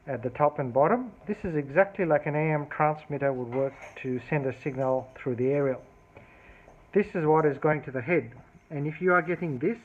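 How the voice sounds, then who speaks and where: A narrow, phone-like recording of a man with a slightly high voice. He walks through a technical repair in an unscripted, methodical way, thinking aloud as he demonstrates each step. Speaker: electronics repair hobbyist demonstrating a fix. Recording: thin phone-like band-limited sound.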